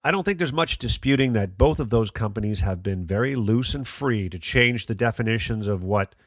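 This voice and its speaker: A man's voice: fairly low pitch, animated, a little fast, no room sound. A man playing a skeptical, sardonic radio call-in host in a radio play, with a dry, challenging manner. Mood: wry, skeptical. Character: skeptical male late-night conspiracy radio call-in host.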